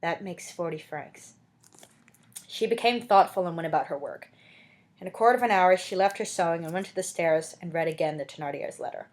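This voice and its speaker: A woman narrating a story as an amateur audiobook reader, in an expressive literary style. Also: fairly low pitch, quick pace.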